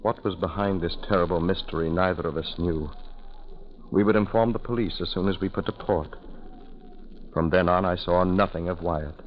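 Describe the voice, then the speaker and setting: A man's voice: low-pitched, moderate pace, lightly acted. An actor playing a male ship passenger in a 1940s radio horror drama, telling and living his tale with dramatic, urgent gravity. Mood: grave suspense. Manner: dramatic, grave in narration, urgent in dialogue. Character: alarmed male ship passenger recounting a terrifying voyage. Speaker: narrator and lead character. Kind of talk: 1940s radio acting, first-person horror narration. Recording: muffled, noisy old 1940s radio recording.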